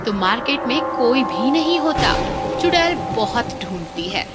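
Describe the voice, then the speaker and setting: A woman's voice: fairly high pitch, big pitch swings, unhurried. A woman narrating a scripted horror story as a dramatic storyteller. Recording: loud music behind the voice.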